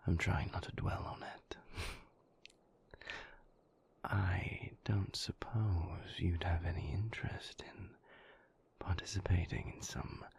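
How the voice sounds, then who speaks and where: A moody vampire boyfriend acted by a man murmurs in a very low, half-whispered voice. He is suave and guarded, choosing formal words and drawing them out very slowly, as in an intimate audio roleplay. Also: a little mellow, full character voice. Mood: suave, teasing. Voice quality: breathy, creaky near-whisper. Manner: languid, formal, measured phrasing.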